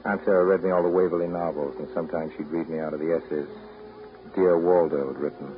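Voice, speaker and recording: An actor playing a reserved, brooding man in a 1930s radio drama, speaking in a measured, reflective way. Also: deep voice, steady tone, close to an everyday voice. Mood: brooding reminiscence. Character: reserved, brooding New England man telling family memories. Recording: muffled, hissy 1930s radio recording.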